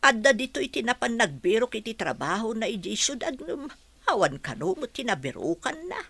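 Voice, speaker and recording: A woman's voice: fairly high pitch, big pitch swings, a little fast, vocal fry, a bit bright, husky, dry recording, full character voice. A woman acting a concerned, regretful middle-aged mother in an Ilocano radio drama. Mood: surprised, regretful.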